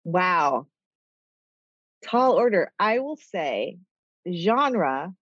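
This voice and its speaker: A woman's voice: on the low side, big pitch swings, drawn-out words, fairly bright tone, dry recording, muffled recording. Chatty, rambling woman with a nasal voice. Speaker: author and executive guest. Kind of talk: casual interview chat.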